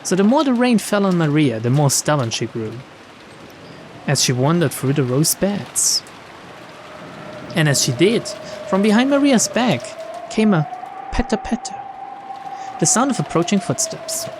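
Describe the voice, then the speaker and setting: A man's voice: fairly high pitch, sing-song, a little slow, pauses often, background noise. A man reading a visual novel aloud as a streamer, theatrical, with casual asides and game music and rain behind him. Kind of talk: dramatic narration with casual commentary. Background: game music and rain sounds.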